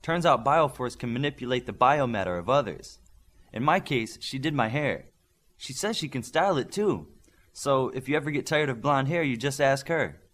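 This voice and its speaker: Man as a firm young superheroine leader, raised pitch. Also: expressive, moderate pace, a bit bright. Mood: chatty.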